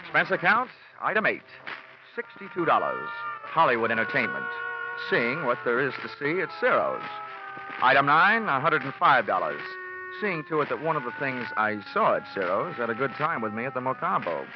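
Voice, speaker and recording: An actor playing a cocky, hot-tempered American insurance investigator in 1940s radio detective style, brash, sardonic and hard-boiled. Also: male voice, medium pitch, wildly swooping pitch, moderate pace, music in the background. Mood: dry narration. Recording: muffled, noisy vintage radio recording.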